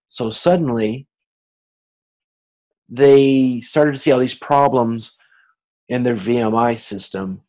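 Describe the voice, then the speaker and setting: A man's voice: medium pitch, a little mellow, poor audio. A man lecturing in a conversational, explanatory way, speaking directly to listeners with hesitations, repeated words and self-corrections. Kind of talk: informal lecture on business.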